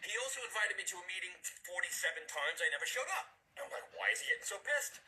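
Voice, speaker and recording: Bright, animated New York man. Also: high voice, fast. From New York City, USA. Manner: animated storytelling, acts out quoted voices. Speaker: guest speaker, former police officer and firefighter. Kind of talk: motivational testimonial. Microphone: video call.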